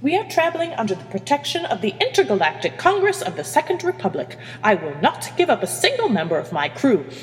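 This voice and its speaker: A woman's voice: fairly high pitch, a little slow, mellow, long reverb tail, faint music behind, pitched above the performer's own voice, natural voice. A woman voicing a firm, steely female starship captain who gives orders in a space story. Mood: steely, defiant. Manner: firm, dry, barking orders.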